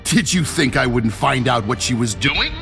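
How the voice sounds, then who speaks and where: Menacing corporate villain, animated delivery. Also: male voice, medium pitch, normal speed, vocal fry. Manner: condescending, taunting. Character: menacing older male corporate villain, smug and commanding. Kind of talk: dramatic video game acting. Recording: game dialogue under loud music.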